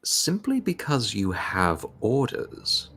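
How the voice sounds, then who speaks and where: A man speaking up at a tabletop game in measured, rather formal phrases. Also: medium pitch, very animated, a bit bright, breathy edge. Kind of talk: casual interjection at a tabletop game.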